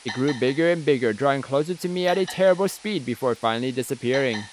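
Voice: medium pitch, expressive, fast talker, a little hiss or hum, rough recording. A let's play host reading visual novel narration aloud, with casual asides.

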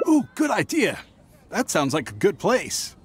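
An actor playing a nervous, shifty young merchant in a fantasy game, hesitant, stammering and evasive. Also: male voice, high voice, huge pitch swings, a little slow, a little hiss or hum, heavily acted. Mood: casual interest. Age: young adult. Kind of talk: scripted fantasy game voice acting.

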